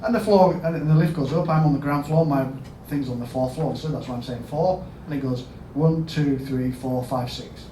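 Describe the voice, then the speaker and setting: A man with a Yorkshire accent giving a storytelling conference talk as a health service chief executive, asking the audience questions and rising to loud emphatic bursts. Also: fairly high pitch, normal speed, few pauses. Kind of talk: storytelling keynote speech. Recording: echoey hall, mic at a distance, background noise.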